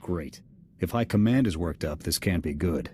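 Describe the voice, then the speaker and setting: Low-voiced, surly soldier. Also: male voice, expressive, normal speed, warm tone, slight background noise. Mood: wry. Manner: blunt, indignant, surly. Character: gruff, combative, proud male army sergeant. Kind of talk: scripted video game acting.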